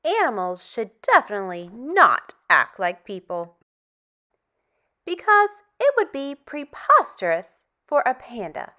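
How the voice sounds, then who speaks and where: A woman reads aloud to young children in a high voice. Her pitch swings widely in a sing-song, exaggerated way. She goes slowly and enunciates each phrase for preschool listeners. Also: huge pitch swings, bright, forceful tone. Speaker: storytime host for young children.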